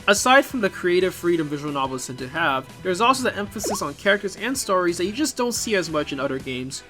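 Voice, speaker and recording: A man's voice: on the high side, a bit bright. A man hosting a video essay, reading scripted narration in an informal, opinionated explainer manner. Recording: music behind the voice.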